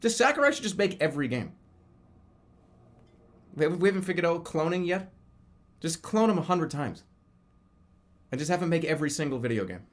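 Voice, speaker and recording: A man's voice: on the high side, very animated, fairly quick, pauses often. A man in his thirties chatting casually as a live streamer, rambling and often restarting or repeating his phrases. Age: 30s. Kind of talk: casual livestream chat commentary.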